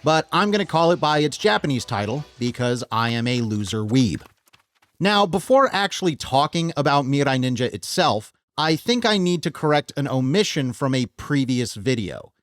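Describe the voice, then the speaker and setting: A man's voice: medium pitch, very animated, moderate pace, a bit bright, no echo. A man with a North American accent hosting a scripted video essay, enthusiastic and wry, with self-deprecating joking asides. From United States. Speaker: host of a film video essay channel.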